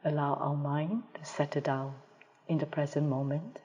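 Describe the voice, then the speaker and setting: A woman leading a guided meditation as a teacher, calm and measured, with pauses between phrases, recorded over a live video call. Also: low-pitched, highs cut off. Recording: reverberant hall-like sound, live video call.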